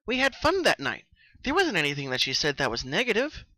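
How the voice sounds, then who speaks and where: A man voicing a troubled, sweet young female party pony in an earnest, emotional and animated way, as in a story reading. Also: a little high, fairly quick, voice pushed up, exaggerated voice. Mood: earnest, puzzled.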